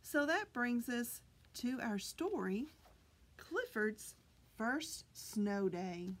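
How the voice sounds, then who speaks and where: Slow, high-pitched woman reading to children. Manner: deliberate, animated, exaggerated enunciation for children. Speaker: children's librarian reading at story time. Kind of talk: expressive picture-book read-aloud for young children. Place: small library children's room, indoors.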